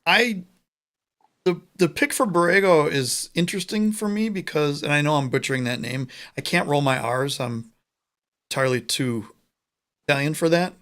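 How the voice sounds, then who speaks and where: A man from the United States co-hosting a casual sports podcast over a remote call, chatty and joking, with quick asides. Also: a little high, a bit bright. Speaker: podcast co-host.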